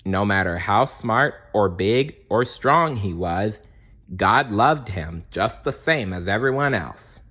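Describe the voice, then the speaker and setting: 느리고 표현력 풍부한 남자 동화 낭독자. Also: male voice, fairly low pitch, expressive, slow pace, sharp tone, husky, lo-fi sound. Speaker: storybook reader. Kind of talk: scripted children's read-aloud narration.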